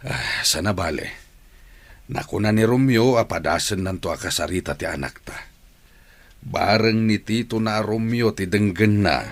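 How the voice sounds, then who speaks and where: A man's voice: fairly low pitch, moderate pace, natural voice. A man playing a supportive older uncle in a radio drama, talking in a reassuring, conversational way. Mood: agreeable. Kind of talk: Ilocano radio drama acting.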